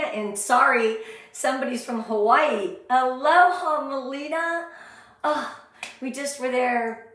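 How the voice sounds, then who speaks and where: Super excitable american lady, highish voice, gushy sales trainer on a livestream, really echoey room. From Southern California, USA. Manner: high-energy, gushing, excitable, sing-song greetings. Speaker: sales director leading a motivational training. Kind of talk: live online pep talk, greeting viewers. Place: indoor room, live stream with ring light. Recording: very echoey, roomy sound.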